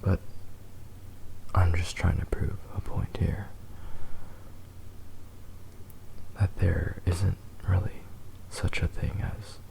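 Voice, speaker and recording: A man playing a possessive, devoted young fox spirit husband in a whispered romantic ASMR roleplay, soft, tender and hesitant. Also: sits low, flat delivery, takes it slowly, vocal fry, exaggerated voice, low-quality recording. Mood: musing, intense.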